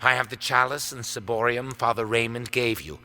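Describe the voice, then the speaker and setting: An actor playing a sadistic, gloating villain in an audio drama, smug, taunting and menacing. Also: male voice, medium pitch, steady tone, normal speed, pushed and bright. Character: sadistic, gloating male villain holding captives. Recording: music under the voice. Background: dramatic background music.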